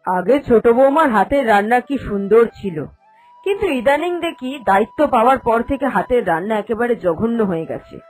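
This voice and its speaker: A woman's voice: a little high, expressive, fairly quick, darkish tone, low-quality recording. A woman voicing a stern, cunning elderly mother-in-law in a cartoon story, with an authoritative, accusing manner. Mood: stern displeasure. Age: older. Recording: voice over background music.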